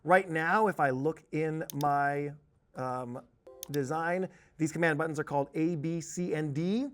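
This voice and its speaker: A man with a slightly high voice leads a software tutorial. He explains things informally, as a trainer walking learners through a screen, and drops in playful asides. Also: unhurried, soft background music. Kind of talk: conversational instructional screen-share tutorial.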